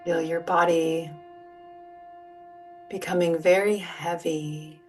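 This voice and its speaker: A woman leading a guided relaxation as a yoga teacher, speaking in a soothing, gentle, unhurried manner. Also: fairly low pitch, fairly even intonation. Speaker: yoga nidra meditation guide. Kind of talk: guided meditation, soft spoken instruction. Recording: distant mic, music behind. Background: soft music or sound bowls.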